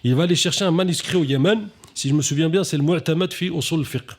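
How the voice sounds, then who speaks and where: A man hosting a live talk, animated, who often drops Arabic religious phrases and lifts his voice to stress points. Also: fast. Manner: animated, rising pitch when stressing points. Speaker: conference host. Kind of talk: conversational talk on stage.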